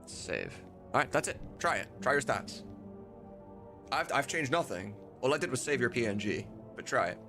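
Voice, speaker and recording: A man's voice: mid-range voice, sing-song, normal speed, over music. A man chatting casually and informally as a livestream host, with some mild swearing. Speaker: livestreamer and host of a game voice-dubbing mod team.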